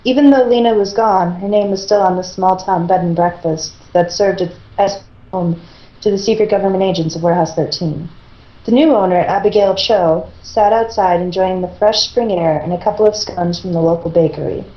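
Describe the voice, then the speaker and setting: A woman's voice: mid-range voice, normal speed. A woman chatting casually and reading a story aloud as an amateur, over an internet voice call. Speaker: participant in a group fan fiction reading. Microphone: internet group voice call. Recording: dull, echoey internet voice call.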